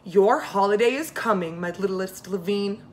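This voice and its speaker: A woman voicing a kindly, patient old grandfather in a storybook, gentle and reassuring. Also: mid-range voice, moderate pace, a little hiss or hum, lightly acted.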